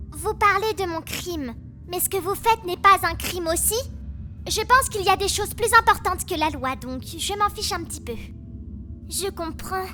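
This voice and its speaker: Anime dub child prisoner, girl, twelve: prim, righteous, high bright voice over music. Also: exaggerated voice. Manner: crisp, formal, self-righteous. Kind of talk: French anime fan dub monologue.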